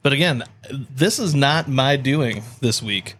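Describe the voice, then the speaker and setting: A man's voice: medium pitch, animated, slow pace, fairly bright tone, breathy edge. An American man co-hosting a podcast in casual banter, tossing in joking, quippy remarks. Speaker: podcast co-host.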